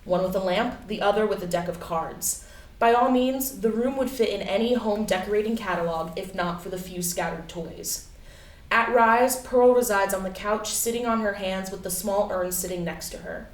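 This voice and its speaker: A woman's voice: mid-range voice, normal speed. A woman reading stage directions as the narrator of a staged play reading. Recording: echoey room, distant mic, faint noise.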